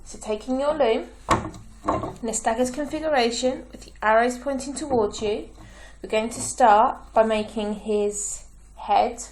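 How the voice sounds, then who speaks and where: A woman with a croaky throat, giving slow, patient step-by-step craft instructions as a tutorial host. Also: on the high side, a little mellow, poor audio. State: croaky, clearing her throat.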